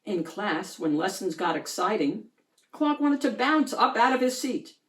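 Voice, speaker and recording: A woman reading a children's picture book aloud in an expressive storytelling style. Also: mid-range voice, a little slow, reverberant.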